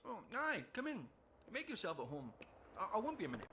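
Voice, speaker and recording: An actor playing an obsessive, smug scientist villain with a theatrical, grandiose manner in a video game cutscene. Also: male voice, sits high, huge pitch swings, fast talker, background noise, full character voice. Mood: falsely hospitable. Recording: music behind the voice.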